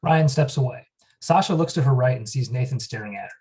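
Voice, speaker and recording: A man's voice: medium pitch, brisk, darkish tone. A man reading the stage directions at a script table read, in a plain, matter-of-fact voice.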